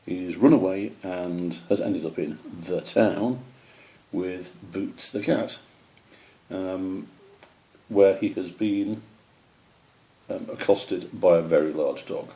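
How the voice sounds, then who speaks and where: A man reading a children's story aloud in an expressive narrating voice. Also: sits low, takes it slowly, pauses often. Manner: expressive, with character voices for animals. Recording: roomy, echoey sound.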